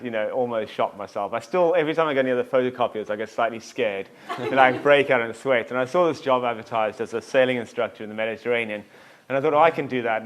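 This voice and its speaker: Man, 40s, self-deprecating, rambling, casual storytelling. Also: medium pitch. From South Africa. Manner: self-deprecating, jokey, rambling with many fillers. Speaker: tour company founder, guest.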